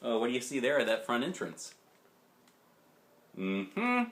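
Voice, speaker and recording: A man's voice: mid-range voice, huge pitch swings, unhurried, fairly bright tone. A man reading a children's picture book aloud as a dad would, with dramatic emphasis and chatty questions to the listener. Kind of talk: expressive children's storybook narration with chatty asides.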